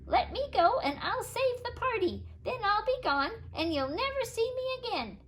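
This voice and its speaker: A woman's voice: very high-pitched, very animated, normal speed, a little hiss or hum, voice pushed up, low-quality recording. A woman doing a tiny sly leprechaun with a lilt. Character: tiny mischievous male leprechaun.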